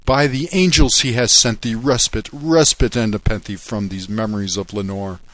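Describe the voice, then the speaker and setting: A man's voice: lowish voice, steady tone, moderate pace, a bit bright, dry recording, voice pushed up, close to an everyday voice. Man performing a tormented grieving man, gothic poetry, desperate, declamatory, pleading, haunted. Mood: anguished.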